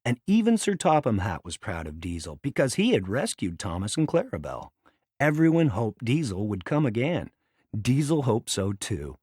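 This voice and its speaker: A man reading a children's read-along story as a scripted, dramatic and expressive narration. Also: mid-range voice, normal speed, breathy edge, dry recording, clean studio sound.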